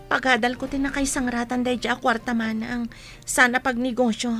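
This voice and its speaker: A woman's voice: fairly high pitch, flat delivery, brisk, fairly bright tone, faint music behind. A young woman playing a cautious, sensible younger sister in a radio drama, reasoning firmly. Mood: reluctant. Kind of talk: Ilocano radio drama acting.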